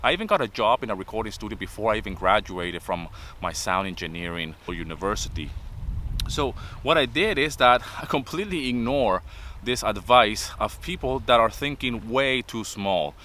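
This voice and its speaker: Vlogger, 50s, Venezuelan accent, earnest motivational delivery, bright timbre. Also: male voice, on the low side, expressive, normal speed, a little hiss or hum. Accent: Venezuelan Spanish. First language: Spanish. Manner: earnest, repeats points with "okay?". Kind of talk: motivational talk to camera.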